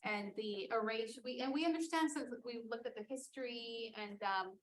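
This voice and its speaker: A woman's voice: fairly high pitch, moderate pace, poor audio. A woman teacher moderating a class discussion in a questioning, reflective manner.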